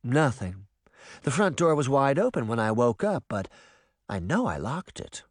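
A man's voice: medium pitch, sing-song, a little slow, no echo, studio quality. A man voicing a glamorous young witch woman who talks lightly and casually. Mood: breezy.

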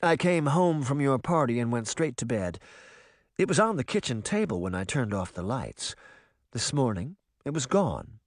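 A man's voice: medium pitch, very animated, normal speed, no echo, studio quality. A man voicing a glamorous young witch woman who talks lightly and casually. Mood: breezy.

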